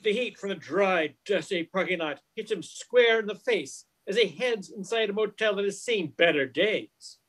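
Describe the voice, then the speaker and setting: A man's voice: sits high, expressive, normal speed, few pauses, a bit bright. A man in his sixties reading scripted narration as the narrator of an old-time radio mystery. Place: living room at home. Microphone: video call.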